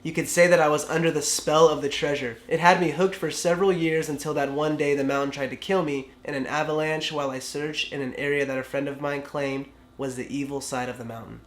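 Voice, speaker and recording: A young man with a stuffy, slightly sick voice talks in a laid-back, chatty way, full of fillers. His voice sits a touch high for a man, and the room around him echoes noticeably. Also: level tone, brisk, few pauses. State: sick and congested. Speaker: vlog co-host reading a story. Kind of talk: casual chat and reading aloud.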